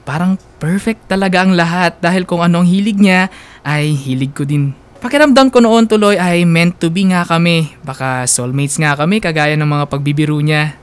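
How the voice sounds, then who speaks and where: Smooth, higher-voiced Filipino male storyteller. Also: moderate pace. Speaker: radio host reading a listener's love story. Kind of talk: first-person storytelling narration.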